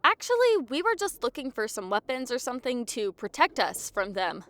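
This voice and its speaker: A woman playing a skeptical, quick-witted adventurer in an audio drama. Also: high-pitched, sing-song, a bit bright, no echo. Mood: friendly.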